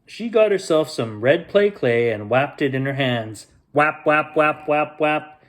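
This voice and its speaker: A man with a slightly high voice hosts a children's bedtime story. His delivery is expressive and playful, made for reading aloud to young listeners. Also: unhurried. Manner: expressive, with playful repeated sound effects.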